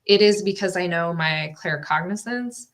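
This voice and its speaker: A woman's voice: on the low side, moderate pace. A woman guest on an interview podcast, a coach, talking in an earnest, reflective, explanatory way. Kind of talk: casual interview chat.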